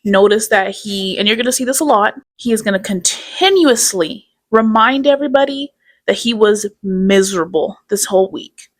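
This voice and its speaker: A woman's voice: medium pitch, normal speed. A woman hosting a commentary video, reacting to a streamer's clips with sarcasm and scorn, and swearing. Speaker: commentary host.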